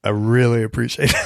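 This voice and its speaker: A man in his fifties hosting a casual interview, relaxed and loose-tongued, with a voice that sounds younger than his age. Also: medium pitch, vocal fry, no echo, clean studio sound. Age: 50s. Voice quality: youthful-sounding voice. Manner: relaxed, swears freely, calls guest "man" and "bro". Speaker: interview host.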